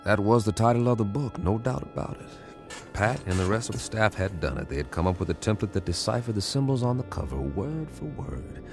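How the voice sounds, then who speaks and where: A wry male codebreaker in a radio drama, low and gravelly. His folksy, persuasive delivery swings widely in pitch, over a clear music bed. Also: lively intonation, fairly quick. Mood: reflective. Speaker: lead actor and first-person narrator. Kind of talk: radio drama acting and narration. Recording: music underneath.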